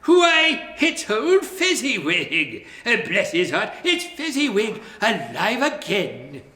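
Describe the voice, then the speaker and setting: A man voicing an old miser who speaks slowly and with strong feeling. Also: high voice, huge pitch swings, pushed and bright, pitched above the performer's own voice, heavily acted. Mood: excited. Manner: drawn-out, emotional delivery. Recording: roomy echo.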